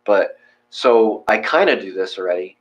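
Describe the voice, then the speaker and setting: A man with a fairly low voice talks off the cuff as a session host. He rambles informally, circling back and rewording as he explains things in a casual chat. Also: expressive, normal speed, lo-fi sound. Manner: rambling, informal, self-correcting. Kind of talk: casual chat after guided meditation.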